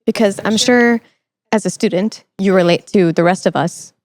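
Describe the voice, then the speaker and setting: A woman's voice: mid-range voice, moderate pace, darkish tone, no room sound. A young woman hosting a podcast interview in a conversational, reflective way, thinking aloud as she phrases her questions. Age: young adult. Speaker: podcast host.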